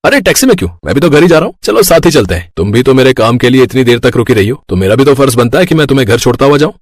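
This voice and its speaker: A man playing a married, smitten, quick-tempered businessman in a family drama story, earnest and melodramatic. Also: medium pitch, very animated, no room sound, studio quality.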